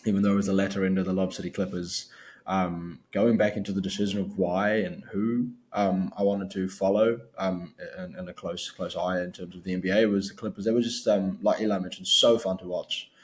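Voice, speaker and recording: A young man who grew up in New Zealand co-hosts a casual podcast, with the accent to match. His voice is low. He speaks haltingly, with frequent fillers as he gathers his thoughts. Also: fairly even intonation. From born in Sri Lanka, grew up in New Zealand. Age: young adult. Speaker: podcast co-host. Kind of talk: casual chat.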